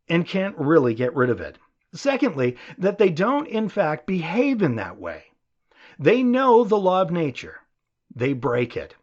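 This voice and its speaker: A man narrating an audiobook in the style of a conversational lecture, with emphatic, rhetorical, measured phrasing. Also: high-pitched, darkish tone. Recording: dull, muffled lo-fi audio.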